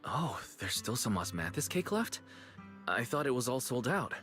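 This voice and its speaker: A man's voice: medium pitch, very animated, normal speed, a bit bright. An actor playing a kind, easygoing young man in an anime-style video game, talking in a friendly, reassuring way. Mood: warm, appreciative. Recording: background music under voice.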